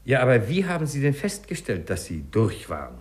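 A man's voice: medium pitch, huge pitch swings, a little fast, a little mellow. An actor playing an affable, self-assured male doctor in a 1950s radio play, courteous and probing. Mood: curious, probing.

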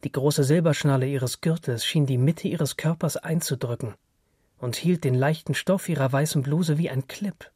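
A man giving a measured, scripted literary narration as a storyteller. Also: on the high side, a little mellow, breathy edge, no echo, clean studio sound. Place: dry studio.

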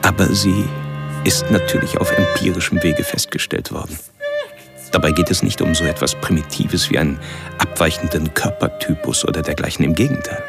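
An actor playing a lean, brooding man who calls himself a monster, confessing in measured, pompous, intellectual words in a radio drama monologue. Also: low voice, huge pitch swings, loud music behind the voice, exaggerated voice. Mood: brooding, clinical. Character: brooding, self-declared monster, lean male killer narrating his confession.

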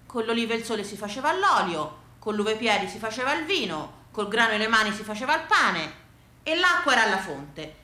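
A woman with a Tuscan accent telling a theatrical monologue for radio in an animated, folksy way. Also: a little high, fairly quick, echoey room. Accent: Tuscan Italian. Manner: animated, folksy, dialect-laced delivery. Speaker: actress and solo storyteller.

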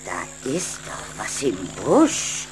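A woman's voice: a bass voice, huge pitch swings, slight background noise, exaggerated voice. A woman playing a haughty, scolding vampire aunt in a German children's audio play, with stern, theatrical delivery. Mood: suspicious. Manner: theatrical, stern, drawn-out pronouncements. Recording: music behind the voice.

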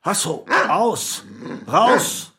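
A man playing a brusque, excitable neighbour in a German radio play, speaking in emphatic, clipped phrases. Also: high-pitched, drawn-out words, gravelly, exaggerated voice. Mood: bossy.

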